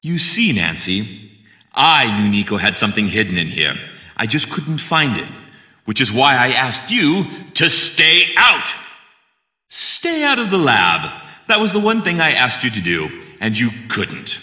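An actor playing a bitter, menacing murderer in a detective video game, sarcastic and aggrieved. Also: male voice, mid-range voice, sing-song, measured pace, pushed and bright, exaggerated voice. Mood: cold menace. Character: cold, bitter male murderer confronting a young detective. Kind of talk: video game villain acting. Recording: roomy, slightly distant from mic.

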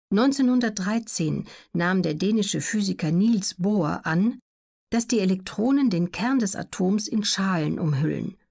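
A woman reads scripted educational narration for an audiobook in a measured, factual manner. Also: medium pitch, gravelly, a little mellow.

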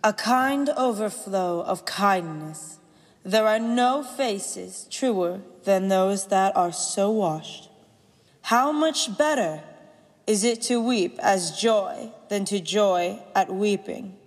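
A woman's voice: medium pitch, expressive, slow pace. A woman playing a stage performer who recites Shakespearean comedy in a theatrical, declamatory way. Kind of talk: classical stage acting in audio drama. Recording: long theater hall reverb.

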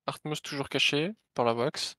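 A man calling air combat play by play during a live simulator match. He narrates each move and counts down the shots. His voice sits at an ordinary mid pitch. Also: steady tone, normal speed, bright, forceful tone, dry recording, clean studio sound. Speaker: co-commentator.

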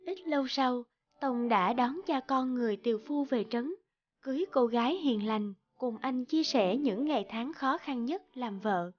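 A woman's voice: high voice, animated, moderate pace, dull audio. A woman reading scripted storybook narration as the narrator of a folktale.

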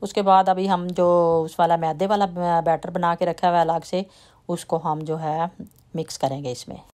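A woman with a slight lilt, chatting in a relaxed way as she walks through a home baking recipe step by step. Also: fairly low pitch, normal speed. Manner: relaxed, chatty, trails off softly at sentence ends. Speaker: home cooking vlogger. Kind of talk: casual step-by-step baking talk.

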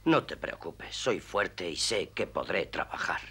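A man's voice: low-pitched, animated, measured pace, bright, forceful tone, slightly rough, exaggerated voice. An actor in a Spanish cartoon dub playing a guilt-ridden middle-aged drinker who hides his real name, pleading and desperate.

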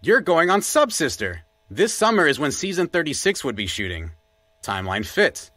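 A man's voice: fairly high pitch, sing-song, moderate pace, sharp tone, dry recording. An actor playing a wry, exasperated male podcast partner, grumbling in naturalistic audio drama dialogue. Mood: suspicious.

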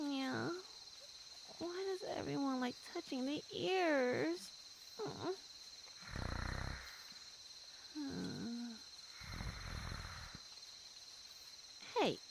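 A woman voicing a lazy, possessive female tiger monster in an ASMR roleplay, with slow, purring, teasing speech. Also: sits high, vocal fry, noisy background, heavily acted.

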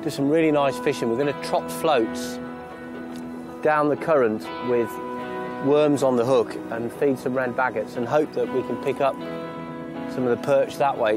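An English man hosting a fishing show, chatting with excitement from a small boat on a river outdoors. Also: mid-range voice. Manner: enthusiastic, chatty. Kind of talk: outdoor fishing chat and piece to camera.

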